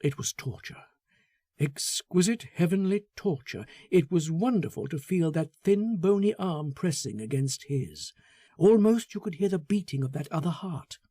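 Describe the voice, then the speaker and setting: A man narrating a literary story as an audiobook, dramatic, deliberate and intense in his delivery. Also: fairly high pitch, expressive, warm tone, husky, dry recording, studio quality.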